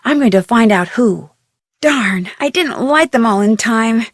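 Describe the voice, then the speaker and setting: High-pitched, lively young girl detective. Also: unhurried, dry recording, clean studio sound. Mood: determined, musing. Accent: American. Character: inquisitive, plucky young female amateur detective. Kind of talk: scripted adventure game voice acting.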